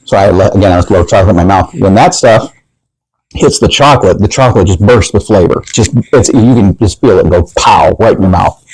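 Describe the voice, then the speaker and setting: Mellow low-voiced male show host. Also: fast talker, breathy edge, no echo, poor audio. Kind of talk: casual tasting interview with promotional plugs.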